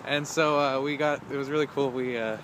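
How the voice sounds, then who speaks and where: A teenage boy vlogging to a phone held at arm's length outdoors, chatty and excitable with lots of fillers. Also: medium pitch, fairly quick, noisy background. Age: teens. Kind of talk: casual vlog. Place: outdoors on city streets. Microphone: phone camera at arm's length.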